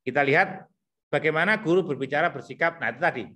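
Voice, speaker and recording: A man with a thick Javanese accent explaining teacher competency criteria to colleagues, repeating himself and filling pauses as he thinks aloud. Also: on the high side, pushed and bright. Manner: repetitive, thinks aloud with many fillers.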